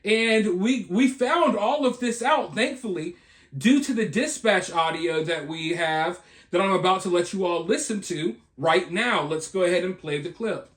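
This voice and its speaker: A man's voice: high voice, lively intonation, unhurried, a bit bright. A man hosting an opinionated news commentary, speaking emphatically with repeated points and rhetorical questions. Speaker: video host and commentator.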